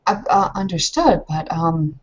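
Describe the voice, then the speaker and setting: A woman speaks in character during an improvised game. Her voice sits fairly low and she feels out each question with hesitation. The audio is slightly muffled and lo-fi. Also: lively intonation, measured pace. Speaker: player in an online roleplaying session. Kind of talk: in-character improvised roleplay talk.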